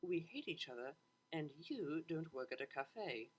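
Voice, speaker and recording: Narrator putting on a prim, soft-spoken refined mare cellist. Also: medium pitch, lively intonation, unhurried, poor audio. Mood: firm, hushed. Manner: prim, proper, hesitant. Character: refined, polite, reserved young mare cellist.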